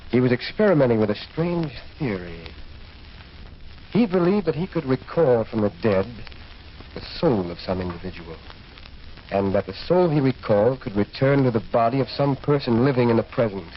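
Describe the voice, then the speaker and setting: An actor playing a sober, sceptical physician, speaking gravely and firmly in an old radio horror drama. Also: male voice, mid-range voice, expressive, lightly acted. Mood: grave, foreboding. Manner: grave, measured, firm. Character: sober, sceptical male physician recounting a haunting. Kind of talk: 1940s radio drama acting. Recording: muffled, noisy old radio recording.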